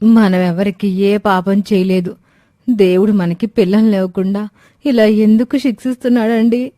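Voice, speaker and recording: A woman voicing a kind, caring wife of a wealthy landlord in a storybook tale, warm and sincere. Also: medium pitch, fairly even intonation, moderate pace, dry recording, studio quality, natural voice. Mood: sorrowful.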